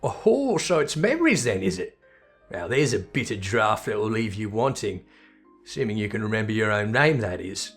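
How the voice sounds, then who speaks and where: A man acts out a gruff tavern keeper from a fantasy game. He is wry and teasing, rough in his words, a teller of old tales. Music plays clearly behind him. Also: fairly low pitch, sing-song, moderate pace. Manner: colloquial, teasing, rough-spoken. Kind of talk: character voice reading video game dialogue.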